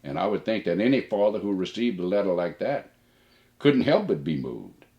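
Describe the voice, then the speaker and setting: A man's voice: deep voice, very animated, moderate pace, dull audio. An older man, a father, reading a personal letter aloud and reflecting on it.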